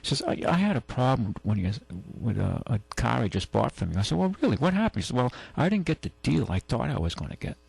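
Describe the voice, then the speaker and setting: A man with a gravelly, creaky voice hosts a talk radio show. He tells long, meandering stories, stumbles over restarts and acts out other people's lines. The sound is dry and close. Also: mid-range voice, big pitch swings, quick pace, few pauses, darkish tone, no echo. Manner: rambling anecdotes with many restarts and acted-out quotes. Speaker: radio show host and car dealer. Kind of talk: casual on-air storytelling. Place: radio studio.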